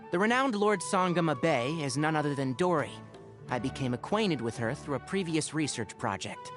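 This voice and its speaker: A man voicing a young fox-eared forest ranger in a video game, a scholarly mentor who talks in an articulate, wry, gently lecturing way. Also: on the high side, close to an everyday voice. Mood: dry, guarded. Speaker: video game character voice actor. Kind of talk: game character voice lines, conversational monologue. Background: background music.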